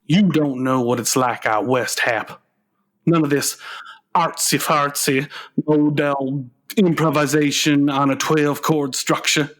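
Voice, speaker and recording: An actor playing a whiny, sulky adult son, a struggling musician, in a comedic radio play, with a plaintive, halting delivery. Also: male voice, a little high, fairly even intonation. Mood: mournful, self-pitying.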